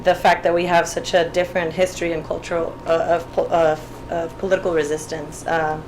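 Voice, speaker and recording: A young woman from Puerto Rico, an artist speaking on a panel, talking haltingly with many restarts and fillers. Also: fairly low pitch, flat delivery. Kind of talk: spoken panel talk. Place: event room. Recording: faint background noise.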